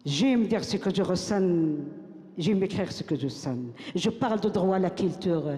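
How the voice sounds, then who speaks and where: An older woman recites her own text on a stage, her voice soft and mellow. She builds rhythm by repeating lines like a chant. The sound rings with the long reverb of a big hall. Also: lowish voice, animated, measured pace, soft background music. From Morocco. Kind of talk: spoken-word recitation to an audience.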